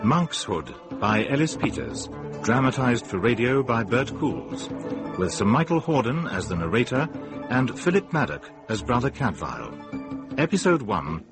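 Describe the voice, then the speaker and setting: A man's voice: mid-range voice, big pitch swings, measured pace. A man giving a scripted programme introduction as a radio announcer. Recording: loud music under announcement.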